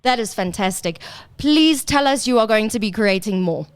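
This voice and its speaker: A woman's voice: medium pitch, expressive, moderate pace, clear, resonant tone, no echo. A woman hosting a radio interview, gushing and effusive in her praise. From South Africa. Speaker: radio host. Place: radio studio.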